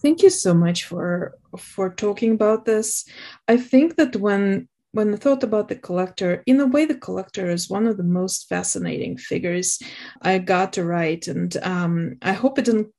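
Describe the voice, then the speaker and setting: A woman's voice: mid-range voice, normal speed, darkish tone. Thoughtful woman author in conversation. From Ukraine, later Russia and Israel. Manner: thoughtful, often laughing lightly. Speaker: author, interview guest. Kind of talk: reflective conversational interview.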